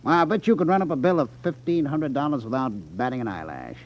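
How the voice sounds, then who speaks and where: A muffled 1950s radio broadcast carries an actor as a sly male racketeer who runs a gambling club. His pitch swings widely as he purrs false friendliness, leaning slowly and sarcastically on his words with open menace. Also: expressive, close to an everyday voice. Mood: falsely friendly. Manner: smooth false friendliness, sarcastic, slow deliberate emphasis. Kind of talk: 1950s radio drama acting.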